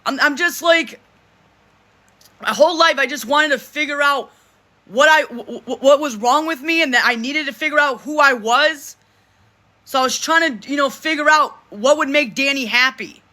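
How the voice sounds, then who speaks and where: Forceful, emphatic vlogger in their thirties. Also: normal speed. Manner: emphatic, repeats and restarts phrases; speaks in a self-described deep voice. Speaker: vlogger speaking to supporters. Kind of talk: casual monologue to camera.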